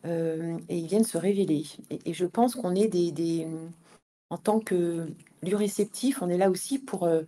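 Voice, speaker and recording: Mellow, lowish-voiced woman, halting delivery. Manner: hesitant, halting delivery with many repeated words. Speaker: interview guest, founder and manager of an event venue. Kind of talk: conversational live interview.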